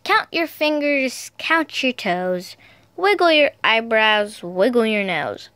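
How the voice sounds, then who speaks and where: A woman reading picture books aloud for toddlers in a sing-song, deliberate, child-directed way. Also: on the high side, animated, a bit bright, smooth, no echo. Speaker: storyteller, video host.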